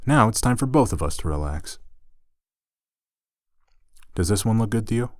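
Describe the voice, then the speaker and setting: Deep-voiced easygoing male roommate, acted by a man. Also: lively intonation, moderate pace, no room sound, studio quality, lightly acted. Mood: relaxed, friendly. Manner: casual, conversational, hesitant trailing asides. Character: easygoing young male roommate, gently teasing, slightly awkward. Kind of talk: intimate ASMR roleplay audio drama.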